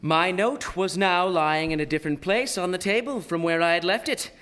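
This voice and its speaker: Self-righteous townsman, male actor, fairly high voice, formal and pointed testimony, radio play. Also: moderate pace, a bit bright, clean tone. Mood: indignant, precise. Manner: precise, oratorical, pointed. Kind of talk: stage radio drama. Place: playhouse stage before a live audience.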